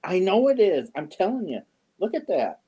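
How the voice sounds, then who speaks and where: A man in his fifties chatting casually with viewers on a yarn livestream, joking and often clearing his throat. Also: high-pitched, very animated, moderate pace, warm tone. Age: 50s. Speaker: yarn channel host. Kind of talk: casual livestream chat answering viewer comments.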